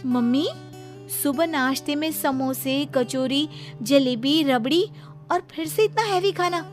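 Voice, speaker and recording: A woman's voice: high-pitched, measured pace, soft background music. A woman playing a young, health-conscious daughter-in-law in a cartoon story, earnest, polite and firm as she reasons. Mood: exasperated, firm. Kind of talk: Hindi cartoon story acting.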